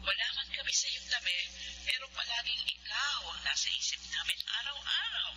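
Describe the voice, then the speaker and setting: Middle-aged Filipino mother, radio drama: high voice, animated scolding, busy and impatient. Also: moderate pace. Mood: exasperated, pleading. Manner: scolding, pleading, mixes in English. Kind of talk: Tagalog radio drama acting. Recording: noisy, humming telephone-style radio drama.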